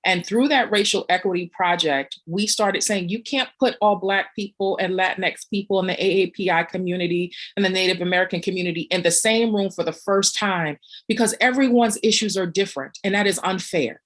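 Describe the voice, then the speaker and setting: A woman speaking on a panel as a community organizer, earnest and reflective, pausing with frequent fillers. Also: medium pitch. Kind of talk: panel discussion.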